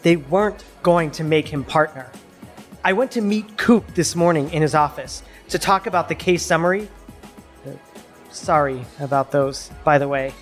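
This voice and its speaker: A man's voice: fairly high pitch, normal speed, faint music behind, lightly acted. A man playing a nervous, defensive law firm associate in an audio drama, speaking hesitantly. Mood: insistent, confiding.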